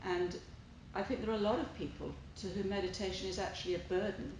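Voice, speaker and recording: A woman's voice: medium pitch, fairly even intonation, quick pace, a little mellow. A woman sharing personal experience as a participant in a group discussion. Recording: very echoey room, noisy, distant pickup.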